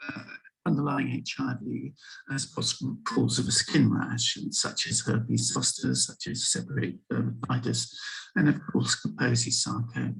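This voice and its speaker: A man in his sixties with a husky voice from a sore throat, giving a measured online medical lecture over a video call as a visiting HIV physician. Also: medium pitch, rough recording. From England. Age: 60s. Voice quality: gravelly, breathy. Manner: measured, explanatory, with frequent ums. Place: home room with empty shelves and packing boxes.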